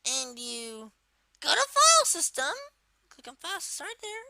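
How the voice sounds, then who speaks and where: A woman voicing a young male cartoon turtle villain while giving an informal, hesitant, unscripted software tutorial. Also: high voice, wildly swooping pitch, moderate pace, creaky, background noise, heavily acted. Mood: explaining, casual. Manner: hesitant, informal, rambling delivery. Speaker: tutorial presenter.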